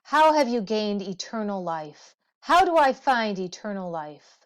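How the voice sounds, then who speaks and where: A woman's voice: mid-range voice, sing-song, a little slow, smooth. A woman voicing a weary, grieving male hero king from an ancient legend, declaiming earnestly in a storybook reading. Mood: weary, pleading. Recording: dull, muffled lo-fi audio.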